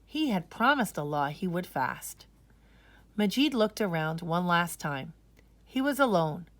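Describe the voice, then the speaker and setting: A woman reads a children's story aloud with measured, expressive delivery. Her voice sits somewhat low, and the recording is dry and close. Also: dry recording. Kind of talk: scripted storybook narration.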